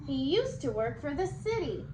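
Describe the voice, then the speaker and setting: A woman reading a children's picture book aloud as a storyteller, expressive and animated. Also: high-pitched, moderate pace. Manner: animated, acting out the dialogue. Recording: echoey room with clear background noise.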